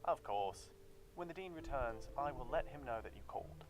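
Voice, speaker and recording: A man's voice: medium pitch, lively intonation, normal speed, natural voice. An actor playing a prim, guarded male secretary with a British accent, speaking formally and evasively in a video game. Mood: polite, smug. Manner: formal, clipped, politely evasive.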